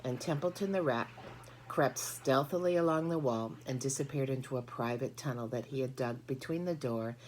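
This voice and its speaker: A woman's voice: extremely low pitch, normal speed, darkish tone, a little hiss or hum, rough recording. A woman narrating a children's story from a book as a storybook reader.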